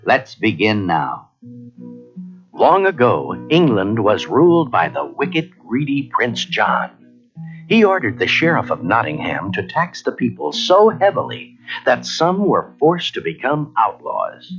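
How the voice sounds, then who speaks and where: A man narrating a children's read-along story in a measured, expressive way. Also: mid-range voice, gravelly. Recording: dull cassette, faint music behind.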